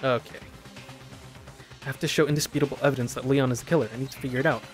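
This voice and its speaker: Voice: mid-range voice, fairly even intonation, racing. A gamer giving casual, self-deprecating playthrough commentary and reading on-screen game text aloud. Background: loud game music.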